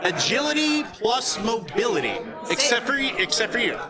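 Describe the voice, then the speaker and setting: A man running a live tabletop game as game master, explaining rules in halting phrases. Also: very high-pitched, big pitch swings. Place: live convention event, indoors. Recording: loud crowd noise behind.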